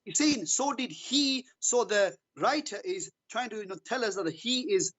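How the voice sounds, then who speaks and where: A man calling in to a religious debate, speaking haltingly and defensively, stammering and repeating words. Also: high voice, animated, fairly bright tone, slightly rough.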